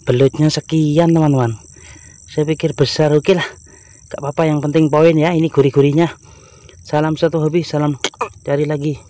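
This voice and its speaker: Mellow-voiced man fishing outdoors. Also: fairly high pitch, little pitch movement, normal speed, pauses often. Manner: talks to viewers, frequent exclamations. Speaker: fishing vlogger. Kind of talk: casual live commentary while fishing. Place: outdoors by muddy rice field water. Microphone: body-worn action camera.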